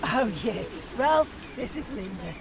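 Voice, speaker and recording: An actress playing a brash, chatty, jokey older working-class wife in an audio drama. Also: female voice, fairly high pitch, lively intonation, slow, vocal fry, crowd noise, heavily acted. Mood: brash, friendly. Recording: noisy outdoor street ambience.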